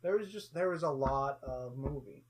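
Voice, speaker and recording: A man's voice: medium pitch, normal speed. A man co-hosting a casual film review, joking and slipping into silly mocking voices for the characters. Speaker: co-host of a movie review show.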